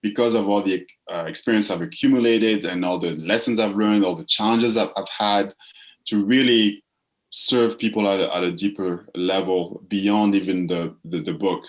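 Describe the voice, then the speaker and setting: Man from the Congo, low voice, reflective interview guest, repeats words while thinking. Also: steady tone, normal speed. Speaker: guest, purpose coach. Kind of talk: casual interview talk.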